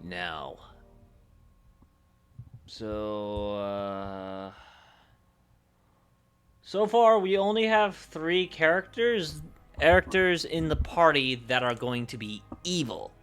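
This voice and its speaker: A man streaming casually, thinking aloud in a musing, hesitant way with pauses mid-thought. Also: mid-range voice, fairly bright tone, clean tone, faint music behind.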